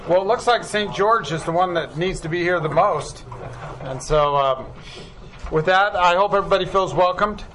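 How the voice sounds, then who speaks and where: Male meeting chair, a bit higher than average, easygoing and wordy, light room hum behind. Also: moderate pace, rough recording. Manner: relaxed, filler-heavy, loosely worded.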